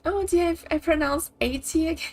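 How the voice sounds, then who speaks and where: A woman's voice: very high voice, fairly quick, vocal fry, darkish tone. A woman from Brazil with a Brazilian accent, whose first language is Portuguese, chatting casually and rambling while streaming a game. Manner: chatty, rambling, many likes and kinda. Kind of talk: casual live-stream chat. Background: faint game music.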